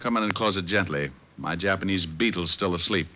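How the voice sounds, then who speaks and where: A man acting a low-voiced wisecracking radio private eye. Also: fairly quick, noisy background, natural voice. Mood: dry, glib. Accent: American. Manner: glib, deadpan wisecracks. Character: wisecracking, cocky male private detective, flirty and unhurried. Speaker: private detective. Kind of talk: 1940s American radio detective drama acting. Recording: dull vintage radio recording with music.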